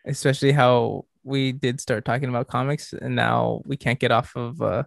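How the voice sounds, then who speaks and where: A young man co-hosting a podcast, teasing his friend with quick comebacks in casual chat. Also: mid-range voice, fairly even intonation, gravelly, dry recording, clean studio sound. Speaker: podcast co-host.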